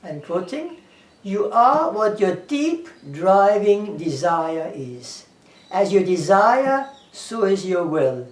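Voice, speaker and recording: A man with a German accent, speaking as an English teacher in a casual talk to camera, earnest, repeating himself and listing ideas one by one. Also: sits high. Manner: earnest, repeats himself, lists things, quotes scripture. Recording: echoey room, far from the mic.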